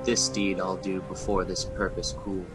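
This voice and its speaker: A man's voice: low-pitched, little pitch movement, moderate pace, over loud music, lightly acted. A man playing a tormented ambitious king, declaiming Shakespearean verse in an amateur radio drama. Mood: resolute.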